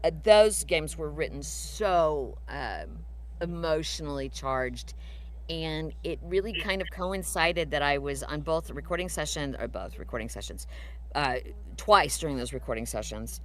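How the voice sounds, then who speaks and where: A woman's voice: low voice, expressive, measured pace, slight background noise. A woman voice actress answering fan questions in a casual live Q&A, chatty and full of fillers. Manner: chatty, warm storytelling with fillers. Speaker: voice actress guest.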